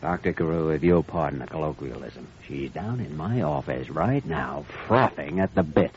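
An actor playing a smooth-talking, scheming younger doctor, wry and persuasive, in 1940s radio style. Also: male voice, a bass voice, animated, moderate pace, darkish tone. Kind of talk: 1940s radio comedy-drama acting. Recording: muffled, hissy old radio broadcast.

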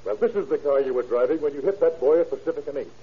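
An actor playing a blunt, commanding police lieutenant in an old radio crime drama, with clipped delivery. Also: male voice, fairly high pitch, fast talker, close to an everyday voice. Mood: pressing, stern. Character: brisk, hard-nosed police detective lieutenant. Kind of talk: 1930s radio acting. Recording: noisy, muffled old radio recording.

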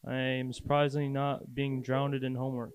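A man's voice: medium pitch, little pitch movement, normal speed, dull audio, a little hiss or hum. A young man from Connecticut, a student, casually introducing himself. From Connecticut, USA. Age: young adult. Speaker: student interviewee.

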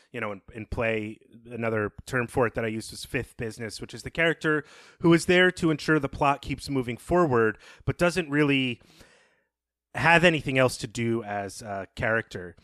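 A man co-hosting a podcast, giving casual literary commentary in reflective, halting phrases. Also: mid-range voice, expressive, sharp tone, no echo, clean studio sound. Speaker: podcast co-host.

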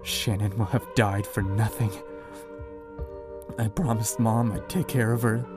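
Actor as grim low-voiced apocalypse survivor over music. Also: male voice, steady tone, unhurried, lightly acted. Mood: grieving, angry. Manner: grim, profane, anguished. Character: panicked, grieving young man, survivor of a zombie apocalypse. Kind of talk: horror audio drama narration and acting.

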